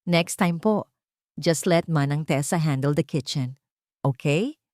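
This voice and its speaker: A woman's voice: sits low, measured pace, a little mellow, no echo. Snobbish, condescending wife for audio drama, played by a female narrator; clipped, falsely polite, mixing in English, dry sound. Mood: cold, firm. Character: cold, snobbish young wife feigning sweetness to mother-in-law.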